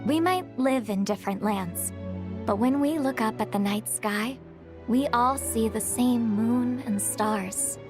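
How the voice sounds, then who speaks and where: A fairly high young woman's voice from an actress, gentle and polite. She speaks courteously as a video game character, with music clearly playing behind her. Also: unhurried, close to an everyday voice. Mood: warm, thoughtful.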